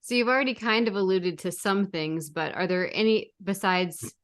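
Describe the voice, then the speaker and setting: A woman's voice: mid-range voice, moderate pace, a bit bright. A woman co-hosting a podcast, putting questions to a guest in casual conversation. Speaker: podcast co-host, interviewer. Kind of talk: casual interview chat.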